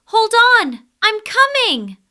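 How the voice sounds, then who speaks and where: A woman voicing a tiny, timid but brave female mouse in a squeaky, earnest children's storybook style. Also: big pitch swings, slow pace, pushed and bright, heavily acted. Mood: urgent. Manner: earnest, pleading.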